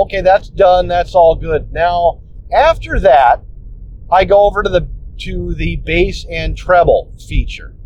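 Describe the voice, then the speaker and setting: A man hosting a podcast, casually explaining how he edits his episodes, rambling with restarts and mild swearing, recorded on a phone in a car. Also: a little mellow. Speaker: podcast host. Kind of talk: casual instructional talk. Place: inside a car on the road. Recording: muffled phone recording with road noise. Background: road rumble.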